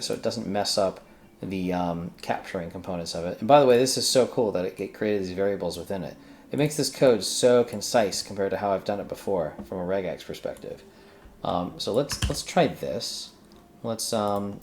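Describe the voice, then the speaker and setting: A man giving a casual coding walkthrough, thinking aloud in a halting way as he works. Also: deep voice, darkish tone, slight background noise. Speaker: tutorial host, accountant who codes.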